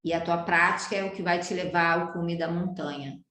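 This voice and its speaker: A woman with a Brazilian accent teaching a yoga class over a video call. She talks in an animated way, often turning to her students with questions. Her voice sounds roomy, as if she is speaking in an echoing space. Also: on the low side, fairly quick. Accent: Brazilian Portuguese. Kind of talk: live online class lecture. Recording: roomy echo.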